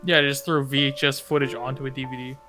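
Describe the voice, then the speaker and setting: A man's voice: medium pitch, level tone, fast talker, over music. A man giving casual, joking commentary while watching videos.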